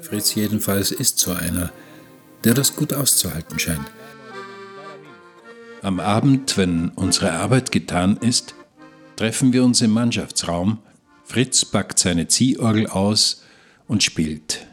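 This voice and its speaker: An actor playing an observant novice galley hand, narrating his voyage diary in a measured, reflective way for a radio play. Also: on the low side, lively intonation, soft background music.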